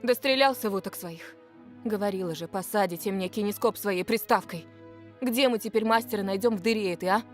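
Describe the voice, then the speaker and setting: A woman's voice: medium pitch, fast, close to an everyday voice. A woman playing a weary, irritable mother in a visual novel, with a scolding, sarcastic manner. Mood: exasperated. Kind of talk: voice acting in a Russian visual novel. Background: game music.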